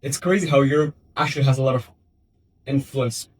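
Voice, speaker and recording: Male interviewer, husky voice, middle pitch, chatty, meandering questions. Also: fairly quick. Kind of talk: casual interview chat.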